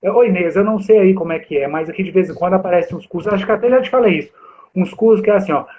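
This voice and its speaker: A man's voice: high voice, rapid-fire, a little hiss or hum. A man in his forties from Brazil, a guest instructor talking animatedly and straight to viewers on a video call. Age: 40s. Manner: animated, addresses viewers directly. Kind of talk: live chat with audience. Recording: tinny phone-like line.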